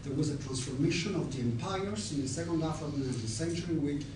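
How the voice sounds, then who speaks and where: Male seminar debater, non-native English accent, emphatic and hesitant by turns; heavily reverberant room, clear noise. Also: quick pace. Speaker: academic seminar participant. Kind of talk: unscripted academic discussion. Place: large echoey room at an academic workshop. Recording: very echoey room, distant mic, noisy, rumble.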